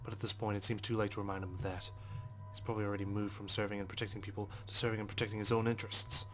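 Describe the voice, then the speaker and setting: Low-voiced man narrating, fairly even. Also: racing, soft background music. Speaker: let's play host. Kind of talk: dramatic read-aloud narration of a visual novel with casual asides.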